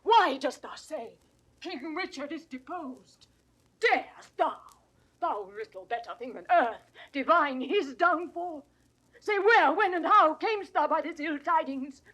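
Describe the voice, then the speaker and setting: A woman's voice: high voice, lively intonation, measured pace, fairly bright tone. An actress in a 1960s Shakespeare audio drama playing a proud, anguished queen with theatrical, impassioned delivery. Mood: outraged. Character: proud, anguished queen, imperious and grief-stricken.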